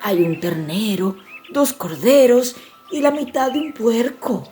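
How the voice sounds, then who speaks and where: A woman's voice: on the high side, animated, slow pace, mellow. A woman playing an ogre's timid, kind wife in a storybook, hesitant and placating. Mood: pleading, coaxing.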